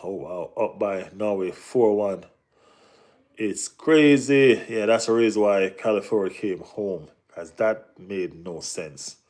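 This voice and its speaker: A man's voice: on the low side, slow, darkish tone. A Jamaican man hosting a casual football live stream, reading and answering chat, often saying "you know what I mean".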